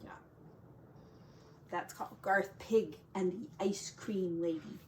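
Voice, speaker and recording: A woman's voice: fairly low pitch, takes it slowly, darkish tone, slight background noise. A woman who teaches, reading a picture book aloud to children expressively, drawing out key words for drama and adding casual asides.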